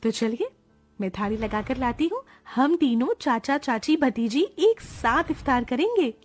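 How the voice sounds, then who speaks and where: A woman voicing a caring young woman of a family in a cartoon story, earnest and expressive. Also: high voice, measured pace, faint music behind, exaggerated voice. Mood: caring, insistent. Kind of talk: Hindi cartoon story voice acting.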